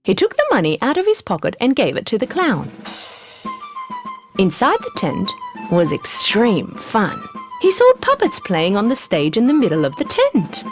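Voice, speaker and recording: A woman narrates a scripted bedtime fairy tale for children. Her medium-pitched voice swoops with great expression. Music plays clearly beneath the storytelling. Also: wildly swooping pitch, moderate pace.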